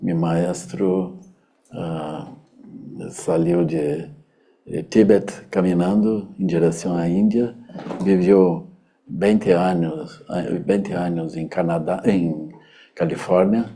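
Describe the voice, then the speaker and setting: A Brazilian man, a native Portuguese speaker with a Brazilian Portuguese accent, gives a hesitant Buddhist teaching with many pauses and fillers, sometimes slipping into Portuguese. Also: low-pitched, steady tone, dark tone, slightly rough. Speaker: Buddhist teacher giving a public talk. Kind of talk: unhurried dharma talk.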